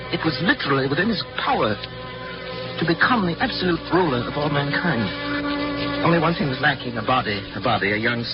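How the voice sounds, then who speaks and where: An actor playing a grave, guilt-ridden scientist and surgeon in a 1940s radio drama, with formal, theatrical diction. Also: male voice, medium pitch, natural voice. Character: guilt-ridden male scientist, obsessed surgeon and father, fatalistic. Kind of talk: 1940s radio drama acting, first-person narration. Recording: dull 1940s radio broadcast, music behind. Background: dramatic music.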